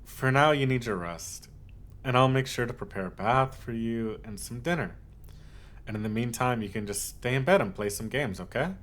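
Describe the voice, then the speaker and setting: A man playing an obsessive, possessive, lovesick yandere in a scripted ASMR roleplay, speaking haltingly with cooed endearments. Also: medium pitch, expressive, lightly acted. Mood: soothing, possessive. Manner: halting, cooing endearments, swings from hushed to insistent.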